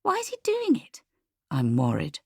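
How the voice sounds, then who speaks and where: A woman voicing a worried, sceptical adult daughter in an audiobook, questioning and quietly critical. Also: low voice, huge pitch swings, moderate pace, vocal fry, breathy edge, no echo, clean studio sound, exaggerated voice.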